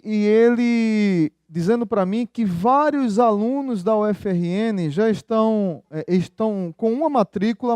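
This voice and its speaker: A pastor with a high yet mellow voice delivers a sermon, talking with the congregation in an easy, conversational way. He keeps asking them rhetorical questions, and his voice sounds dry and close to the microphone. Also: dry recording.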